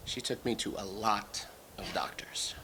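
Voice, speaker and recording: A man in a staged play reading, playing a troubled, evasive brother who hears voices, speaking in a halting, repetitive, disjointed way. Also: on the low side, steady tone, sharp tone, whispered. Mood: evasive, restless.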